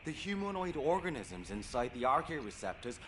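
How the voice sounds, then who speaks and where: A man's voice: mid-range voice, very animated, normal speed, a bit bright, a little hiss or hum. An actor playing a worried young man who pleads emotionally and with exasperation in a video game drama. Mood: pleading.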